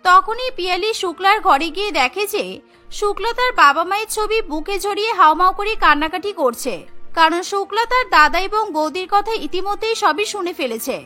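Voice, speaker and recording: Female cartoon narrator: dramatic scripted storytelling, very high pitch, bright tone. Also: animated, fairly quick, soft background music.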